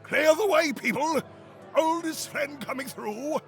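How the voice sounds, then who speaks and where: An actor playing an effusive, flattering family friend at a party, gushing theatrically in an audio drama. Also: male voice, very high voice, measured pace, creaky, soft background music, full character voice. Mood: loudly excited. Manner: gushing, theatrical society talk.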